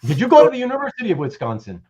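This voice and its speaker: A man hosting a casual livestream chat, speaking haltingly and often repeating words and phrases. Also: extremely high pitch, wildly swooping pitch, mellow, breathy edge. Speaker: host of a live show. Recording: dull, muffled lo-fi audio.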